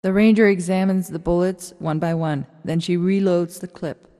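A woman's voice: lowish voice, steady tone, moderate pace, dark tone. A woman reading scripted stage directions as the narrator of a radio drama. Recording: long hall reverb.

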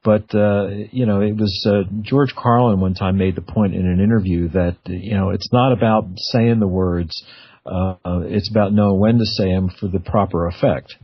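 A man's voice: on the low side, fairly even intonation, moderate pace, slightly rough. A man hosting a radio show, interviewing in a casual, conversational style. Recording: narrow, phone-like radio sound.

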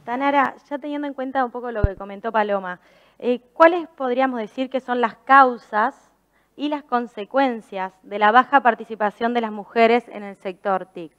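A woman's voice: on the high side, moderate pace, fairly bright tone. A woman moderating a conference panel, passing the floor to the next speaker with a question.

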